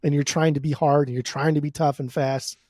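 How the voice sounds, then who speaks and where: Higher-voiced, relaxed, sweary American man. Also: fairly quick, no room sound, studio quality. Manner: profane, slangy, opinionated. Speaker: podcast co-host. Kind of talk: casual music discussion.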